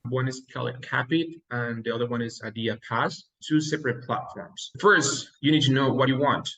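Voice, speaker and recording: A man's voice: mid-range voice, normal speed, creaky, fairly bright tone, low-quality recording. A young man from Mexico, Spanish his first language, explaining his path step by step in a casual interview. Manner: earnest, explains step by step, occasional laughs. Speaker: interview guest, dentist. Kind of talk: casual interview, giving advice.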